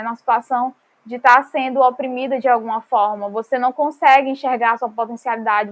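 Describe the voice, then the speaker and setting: A woman with a fairly high voice speaks as a podcast guest. She talks earnestly and loosely, with a lot of filler words. Her level drops off often at the ends of phrases. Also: fairly bright tone. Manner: earnest, with frequent fillers like "tipo" and "né". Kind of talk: casual podcast conversation.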